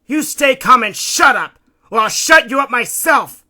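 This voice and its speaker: A man's voice: extremely high pitch, expressive, a little slow, bright, forceful tone, voice pushed up, exaggerated voice. A man voicing a bullying sailor in an adventure story, barking threats. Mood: angry. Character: gruff, bullying sailor in a lifeboat.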